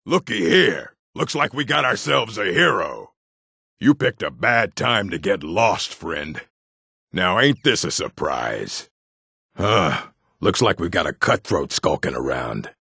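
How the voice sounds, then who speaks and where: An actor voicing a gruff, surly orc bandit for a video game, with a gravelly, husky voice and rough colloquial speech. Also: male voice, deep voice, sing-song, slow pace, sharp tone, no room sound, studio quality, exaggerated voice. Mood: taunting menace. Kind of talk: video game character voice acting.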